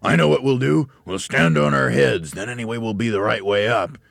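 A man voicing a nasty, dim-witted old husband in a blustering, shouty storybook voice. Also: fairly low pitch, little pitch movement, quick pace, dry recording, studio quality, pitched above the performer's own voice. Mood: excited.

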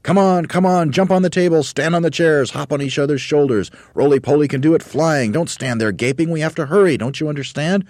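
A man voicing an excitable, bossy monkey leader in a storybook, shouting urgent commands. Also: sits low, brisk, dry recording, studio quality, voice pushed up, lightly acted. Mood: frantic, shouting.

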